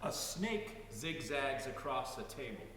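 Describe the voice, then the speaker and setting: A man's voice: mid-range voice, very animated, unhurried, a bit bright. A man reading a scripted first-person story aloud as a narrator. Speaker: reader in a dramatic reading. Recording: very echoey hall, distant mic, faint noise.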